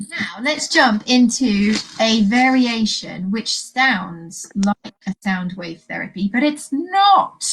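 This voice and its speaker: A woman's voice: mid-range voice, slightly rough, low-quality recording. A woman hosting an informal expert interview, explaining and asking questions in a chatty way. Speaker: host of a live veterinary education series.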